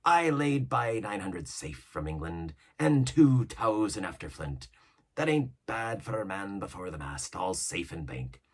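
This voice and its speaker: A man's voice: fairly low pitch, big pitch swings, a little slow, husky, heavily acted. A man voicing a scheming older pirate cook who talks in a coaxing, boastful seaman's way.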